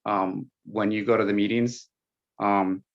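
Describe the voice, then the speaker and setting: A man whose first language is Spanish, a school board candidate speaking frankly in a panel Q&A with halting fillers. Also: on the low side, little pitch movement. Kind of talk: panel Q&A answer. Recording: dull lo-fi sound.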